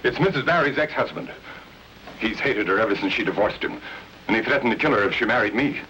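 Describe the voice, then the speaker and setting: A man's voice: on the low side, animated, quick pace, pushed and bright. A man playing an agitated, indignant fiancé, urgent and emphatic, in an old radio mystery. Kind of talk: 1940s-50s radio mystery acting. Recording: dull, hissy old radio recording.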